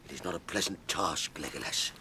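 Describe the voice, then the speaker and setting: An actor playing a gruff, loyal, practical dwarf warrior in a radio drama, blunt and emphatic in delivery. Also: male voice, low voice, a little fast, sharp tone, a little hiss or hum, heavily acted. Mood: sombre.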